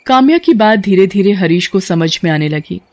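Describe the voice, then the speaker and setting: A woman's voice: mid-range voice, normal speed. Woman narrating a bedtime story. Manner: steady storytelling, firm and scolding when voicing the teacher. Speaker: narrator who also voices the teacher. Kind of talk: scripted audio story narration.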